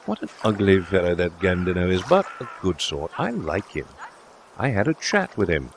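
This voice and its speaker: Man playing a jaunty old English-storybook gentleman, effusive. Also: low voice, very animated, moderate pace, slight background noise. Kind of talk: dramatised audiobook dialogue.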